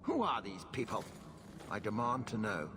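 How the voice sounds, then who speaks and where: A man's voice: lowish voice, very animated, moderate pace. An actor voicing an arrogant nobleman in a video game, giving orders in an imperious, scornful way. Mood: haughty. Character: arrogant haughty male nobleman. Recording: game audio with background ambience.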